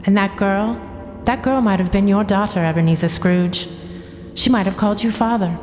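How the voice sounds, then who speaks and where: Solemn woman spirit in deep reverb acted. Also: moderate pace, a little mellow, a little hiss or hum, natural voice. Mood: solemn, insistent. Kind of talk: radio play acting. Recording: echoey long reverb, faint music.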